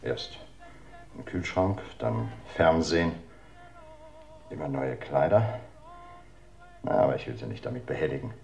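An actor playing a weary, solicitous middle-aged bookkeeper in a radio crime drama, hesitant and confiding. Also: male voice, extremely low pitch, animated, unhurried, vocal fry, pauses often, darkish tone, exaggerated voice. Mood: resigned, wistful. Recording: roomy radio drama recording, faint music.

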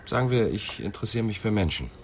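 Male police inspector, low-pitched, calm, probing, sober, radio crime drama, background noise. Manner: sober, deliberate questioning.

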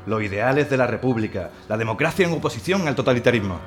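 An actor playing an earnest republican commissar rallying a colonel. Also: male voice, mid-range voice, animated, fast, faint music behind, close to an everyday voice. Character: zealous male republican commissar.

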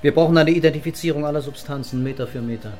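Actor as terse, sober police commissioner over music. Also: male voice, on the high side, racing, a little mellow, natural voice. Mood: sober, matter-of-fact. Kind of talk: German radio crime drama acting.

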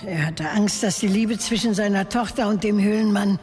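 Actress playing old woman, cryptic, wistful, coldly self-assured, measured delivery, echoing cave-like space, radio drama. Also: medium pitch, darkish tone, soft background music, lightly acted. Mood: wistful, remembering. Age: older. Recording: long cavernous reverb.

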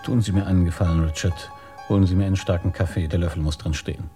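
An actor playing a weary, probing police inspector in a German radio crime drama, terse and matter-of-fact. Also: male voice, low-pitched, steady tone, fast talker, dark tone, faint music behind, natural voice.

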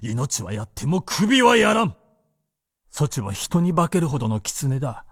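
Imperious samurai warlord, slow and sweeping. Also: male voice, on the high side, sing-song, husky, no echo, clean studio sound, full character voice. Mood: defiant, grimly amused. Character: imperious, fearless samurai warlord facing his death. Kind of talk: Japanese radio drama acting.

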